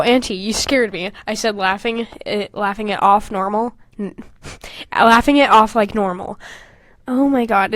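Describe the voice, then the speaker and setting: High-voiced young woman, sweary and animated. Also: dry recording. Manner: animated, sweary, self-mocking asides. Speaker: podcast co-host. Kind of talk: casual podcast chat, reading old fan fiction aloud with mocking commentary.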